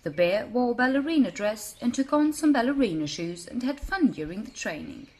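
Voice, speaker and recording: A woman storyteller narrating a scripted bedtime tale for children in an expressive manner. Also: on the high side, normal speed, soft background music.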